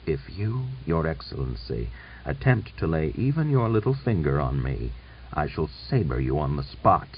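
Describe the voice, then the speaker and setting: A man's voice: deep voice, big pitch swings, normal speed, recorded from a distance. A male narrator plays a proud, hot-blooded cavalry commander in an audiobook novel. His voice is thick and his manner stubborn and defiant. The recording is dull and lo-fi. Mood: quietly menacing. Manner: stubborn, challenging.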